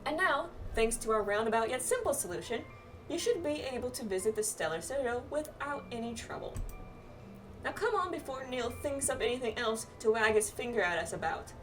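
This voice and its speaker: A woman plays a confident, teasing astrologer guide from a fantasy video game. The voice is fairly high and very animated, chatty and self-assured. Music plays clearly behind it. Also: fairly quick. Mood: proud. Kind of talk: reading video game quest dialogue aloud. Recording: distant mic, music behind.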